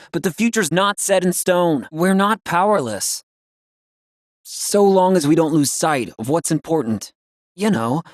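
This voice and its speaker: A man's voice: a little high, no room sound, clean studio sound. A man voicing an upbeat, loyal young ex-soldier in a video game, with a casual, boyish, earnest delivery.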